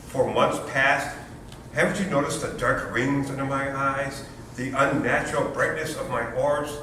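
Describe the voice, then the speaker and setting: Man playing a pompous, melodramatic new father, echoey and distant. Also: sharp tone. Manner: pompous, theatrically florid. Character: anxious new father, indignant, melodramatic, self-pitying Englishman. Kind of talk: stage comedy readers theater. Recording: roomy, distant, noisy hall recording with rumble.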